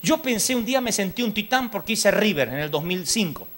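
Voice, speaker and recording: A man with an Argentine accent preaching a sermon at a church congress, telling lively stories and mimicking the people in them. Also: sits high, brisk. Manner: lively storytelling, mimics people in his anecdotes; rises to loud exhortation.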